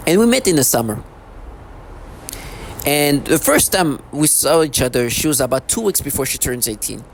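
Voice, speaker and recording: A man's voice: on the high side, animated, normal speed, vocal fry, a little hiss or hum. A man in his thirties talking in a rambling, repetitive, agitated monologue as a vlogger. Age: 30s. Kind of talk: unscripted monologue to camera.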